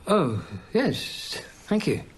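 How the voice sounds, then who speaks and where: An actor playing an anxious, scholarly English gentleman in a radio drama, hesitant and stammering in his politeness. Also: sits high, wildly swooping pitch, very slow, a little hiss or hum, exaggerated voice. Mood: polite, flustered.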